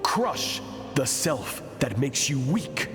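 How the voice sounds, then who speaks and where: A man voicing a cold, commanding master of harsh training in a video game, speaking deliberately. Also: mid-range voice, very animated. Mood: cold, stern. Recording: long reverb, music behind.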